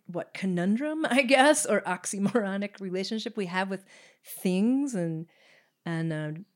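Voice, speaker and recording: A woman in a casual panel discussion, speaking thoughtfully and haltingly as a filmmaker guest. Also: medium pitch, lively intonation. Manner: thoughtful, halting, searching for words.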